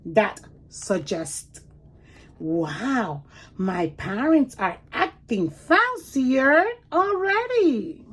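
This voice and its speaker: Lady teacher doing a kids read aloud, slow n expressive, stops to explain stuff. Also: a little high, slightly rough. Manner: expressive, deliberate, pausing to point out words and pictures. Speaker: teacher reading picture books aloud for children. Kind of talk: animated children's read-aloud with commentary asides.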